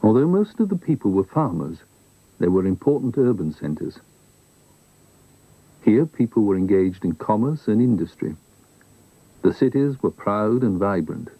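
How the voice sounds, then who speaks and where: A man reading scripted documentary narration in a measured, formal manner. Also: lowish voice, very animated, dark tone, slightly rough, dry recording, low-quality recording.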